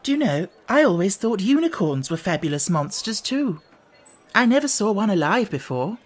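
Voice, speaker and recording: Guy putting on a curious lil storybook girl, polite but excitable, pitched up high. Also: moderate pace. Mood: polite, pleased. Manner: bright, eager.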